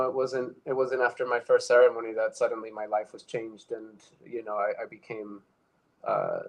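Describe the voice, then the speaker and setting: A man's voice: medium pitch, poor audio. A young man from Ecuador, a guest telling his personal story in a casual conversation, thoughtful and full of fillers and restarts. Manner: reflective, many fillers and restarts.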